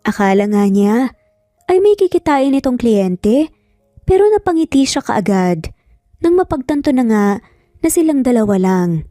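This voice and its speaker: Woman, Filipino accent, story narrator, scripted romance delivery, slightly high pitch, soft mellow tone, faint music behind. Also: sing-song, a little slow, pauses often, dry recording, studio quality. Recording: background music under voice.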